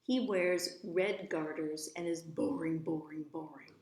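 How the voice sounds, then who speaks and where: A woman's voice: sits low, steady tone, measured pace, a little mellow. A woman reading as a precocious, self-assured little rich girl in a storybook, matter-of-fact and haughty with dramatic flourishes. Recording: roomy, echoey voice recording.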